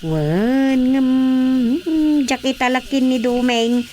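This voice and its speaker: A woman playing a chatty, gently exasperated grown daughter in a radio comedy drama, with an Ilocano accent. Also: sits high, takes it slowly, slight background noise. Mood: explaining.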